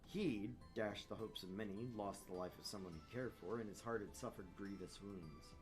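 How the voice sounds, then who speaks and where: A man's voice: lowish voice, normal speed, faint music behind, rough recording. A man hosting a gaming video, reading a visual novel aloud and adding casual, joking commentary.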